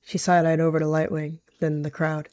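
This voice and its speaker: Mellow woman narrating a roleplay. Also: low voice, flat delivery, moderate pace, no echo. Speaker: roleplayer narrating their characters. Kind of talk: third-person roleplay narration.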